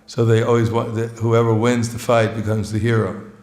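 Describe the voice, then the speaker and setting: A man's voice: fairly low pitch, level tone, moderate pace, a little mellow. A man giving an informal, joking sermon as a visiting monk, often chuckling between phrases and mocking himself. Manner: plainspoken, self-mocking. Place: temple hall. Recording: echoey hall.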